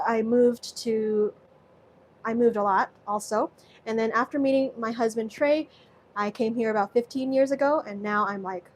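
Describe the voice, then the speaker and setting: A woman from Southeast Asia with a slightly high voice hosts a live cooking stream from her home kitchen. She rambles chattily, restarts her sentences and reads viewer comments aloud, over a faint hum of room noise. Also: rough recording. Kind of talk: casual live-stream chat while cooking.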